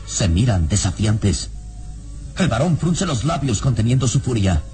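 A man's voice: medium pitch, sing-song, measured pace, mellow. Theatrical male radio serial narrator over music. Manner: theatrical, suspenseful.

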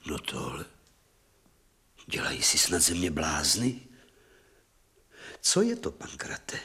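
An actor playing an exacting, irritable professor giving cautious orders in a radio drama. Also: male voice, extremely low pitch, wildly swooping pitch, bright, forceful tone, full character voice. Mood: indignant.

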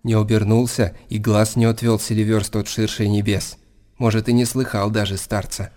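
A man plays a sober chronicler in a radio drama. He tells the tale in a low voice with hardly any rise or fall, restrained and factual. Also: flat delivery, normal speed, a little mellow, natural voice. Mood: grave, restrained. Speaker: narrator. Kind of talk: scripted radio drama narration.

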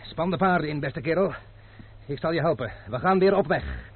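A man playing a proud, quick-tempered courier with a sarcastic edge in an old radio drama. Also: on the high side, animated, slight background noise, natural voice. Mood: gruff. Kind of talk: vintage radio drama acting. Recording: phone-like narrow band.

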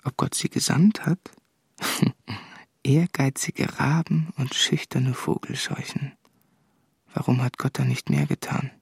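A man playing a solemn, troubled man of faith in a literary radio drama, speaking in a hushed, brooding way with a hoarse, breathy voice. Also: mid-range voice, steady tone, slow pace, no room sound, studio quality, exaggerated voice. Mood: doubting.

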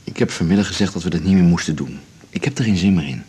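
An actor in a radio drama playing a guilty, evasive cello teacher, halting and conciliatory, over a noisy recording. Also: male voice, deep voice, mellow, slightly rough. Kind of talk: naturalistic 1980s radio drama acting.

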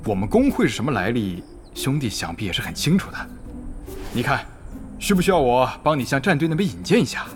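一名男演员在广播剧里饰演一位警惕、精于算计、说话有威严的网游公会会长。. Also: male voice, mid-range voice, sing-song, brisk, soft background music, natural voice. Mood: politely probing. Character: wary, calculating male online game guild leader. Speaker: voice actor. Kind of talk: Chinese audio drama acting.

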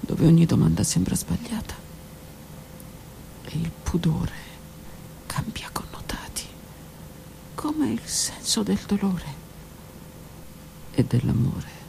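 A woman's voice: on the low side, sing-song, takes it slowly, gravelly, long pauses, warm tone, poor audio. A woman narrating her own literary text for a radio theatre piece, intimate and often dropping to a whisper. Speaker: author and narrator.

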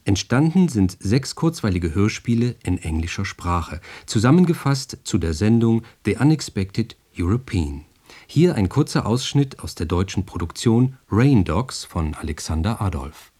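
A man's voice: lowish voice, very animated, moderate pace, dark tone, slightly rough. A native German man hosting radio from a studio, reading scripted programme announcements. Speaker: radio host.

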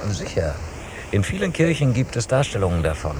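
An actor playing an inquisitive man who questions and explains in a deliberate, didactic way in a radio drama. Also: on the low side, sing-song, noisy background.

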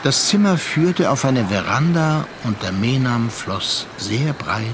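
An actor narrating a literary radio play as a seasoned seafarer, reflective and measured. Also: male voice, mid-range voice, animated, background noise. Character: seasoned male seafarer recounting a troubled younger friend's story. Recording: studio radio play with music underneath. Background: music.

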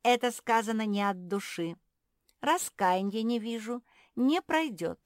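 A woman's voice: mid-range voice, lively intonation, takes it slowly, dry recording, clean studio sound, voice pushed up. A woman voicing a haughty, vindictive female frog in a children's storybook, indignant and imperious. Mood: stern, haughty.

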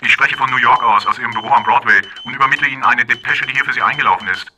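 An actor playing a loud, dutiful office assistant reporting hurriedly by telephone in a radio drama. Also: male voice, mid-range voice, rapid-fire, background noise, close to an everyday voice. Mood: urgent, dutiful.

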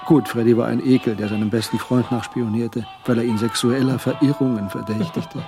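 A man's voice: medium pitch, fairly even intonation, brisk, lightly acted. Male crime story narrator over music. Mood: brooding. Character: tense third-person narrator of a crime story. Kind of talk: scripted narration of a crime radio drama.

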